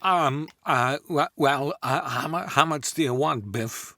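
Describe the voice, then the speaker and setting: A man voicing an awkward, nervous, eccentric oddball in a comic table read, with halting delivery and odd stresses. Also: on the high side, sharp tone, no room sound, clean studio sound.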